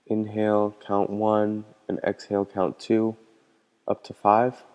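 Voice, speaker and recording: A man leading a guided meditation as a teacher, speaking in a calm, gentle, unhurried way with pauses. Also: fairly low pitch, clean tone, highs cut off. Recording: long hall-like reverb.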